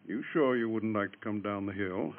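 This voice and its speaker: A man's voice: on the low side, normal speed, close to an everyday voice. A male actor playing a courteous, paternal American small-town doctor in a mid-century radio drama, reassuring and a little hesitant. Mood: gentle, paternal. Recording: tinny, narrow-band old radio recording.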